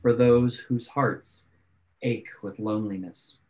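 A man's voice: lowish voice, steady tone, darkish tone. Solemn man reading prayers, lo-fi. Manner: measured and solemn. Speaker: sign language interpreter voicing prayers. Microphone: video call. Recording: dull lo-fi video call audio.

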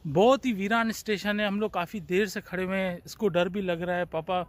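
A man in his forties vlogging to his phone camera on an outdoor train platform at night, in a chatty, relaxed way. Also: sits high, fairly quick. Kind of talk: casual vlog narration. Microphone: phone at arm's length. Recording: faint outdoor background noise.

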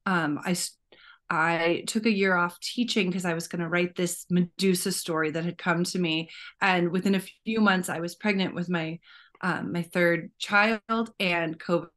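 A woman's voice: on the low side, fairly even intonation, normal speed, a bit bright. A woman in her thirties, an author on a panel, talking earnestly and with energy over a video call from home. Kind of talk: casual panel talk. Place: home room.

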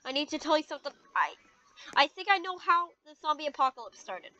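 An excitable gamer improvising a casual, dramatic video game roleplay. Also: high voice, fast. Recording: dull lo-fi mic with clear background noise.

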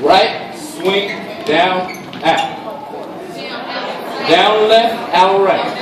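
A middle-aged man teaching a dance class, counting and calling out steps to the group and joking with them. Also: extremely slow. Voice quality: low voice. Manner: counts steps aloud in a steady call, jokes with the class. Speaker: dance instructor. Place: large indoor hall with a crowd of dancers. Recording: echoey hall recorded from far off. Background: crowd noise and room ambience.

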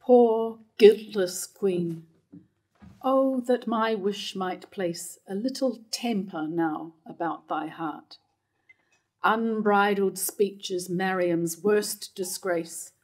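A woman in her fifties reading the part of a doomed, devoted male counsellor in a staged verse tragedy. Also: mid-range voice. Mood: grave, fearful. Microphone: lectern microphone.

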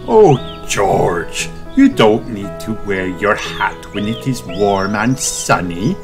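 A man's voice: fairly low pitch, big pitch swings, slow pace, over music, exaggerated voice. A man voicing a kindly, caring mother pig in a children's storybook. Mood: fond. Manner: gentle, caring.

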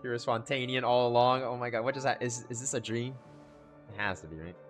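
A young man streaming live reactions to game cutscenes in casual slang, excitable and exclamatory. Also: mid-range voice, fast talker. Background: game music.

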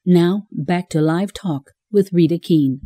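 An actress playing a female newscaster from the future, reading the bulletin in a formal, even manner. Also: fairly low pitch, takes it slowly, dry recording, studio quality. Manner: formal, even newsreader delivery. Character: female future news anchor, crisp and formal. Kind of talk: broadcast news reading in a radio drama.

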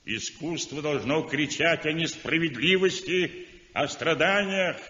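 An actor playing an outspoken art critic in a 1960s radio drama, sardonic and declamatory. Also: male voice, fairly high pitch, a little slow, bright, forceful tone. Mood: scornful. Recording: dull old radio recording in a roomy hall.

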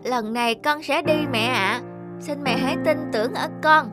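A woman voicing a small, caring young monkey in an animated cartoon, earnest, eager and polite. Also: high voice, moderate pace, over loud music, lightly acted. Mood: earnest, pleading.

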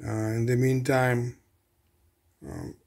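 A man casually narrating his hands-on repair work as a hobbyist, in a halting, matter-of-fact way. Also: medium pitch, flat delivery, vocal fry, darkish tone. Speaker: hobbyist radio restorer. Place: indoor home electronics workbench.

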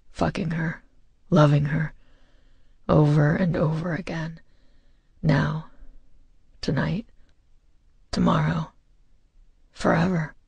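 Man, audiobook romance narration, very breathy rasp, intense, deliberate, close dry sound. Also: fairly high pitch, a little mellow, dry recording. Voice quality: husky, breathy, gravelly. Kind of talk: intimate first-person romance narration.

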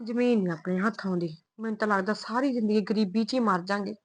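A woman's voice: medium pitch, fast talker, lightly acted. A woman playing a resentful young wife who nags her husband and schemes over family land and money. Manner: nagging, sharp-tongued. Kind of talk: Punjabi family drama acting.

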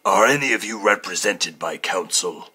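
A man's voice: low voice, expressive, normal speed, pushed and bright, breathy edge, heavily acted. A man playing a curt, mean old judge. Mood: stern. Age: older. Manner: curt and dismissive. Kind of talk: fan audio drama.

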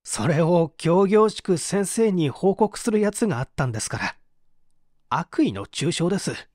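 A man's voice: high-pitched, moderate pace, dry recording, studio quality. A man reading dramatically as a bitter, despairing painter who gives testimony in a formal, confessional way. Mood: aggrieved. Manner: confessional, expressive, formal narrative delivery.

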